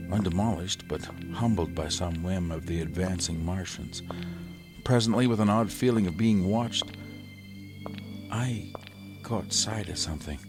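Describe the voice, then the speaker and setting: Weary scholarly male survivor: deep, creaky, deliberate and grave, radio-play monologue over music. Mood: haunted wonder, then tense. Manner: halting, grave, deliberate dramatic delivery. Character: exhausted, haunted male survivor of Martian invasion, scholarly. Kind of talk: 1930s-style radio drama monologue.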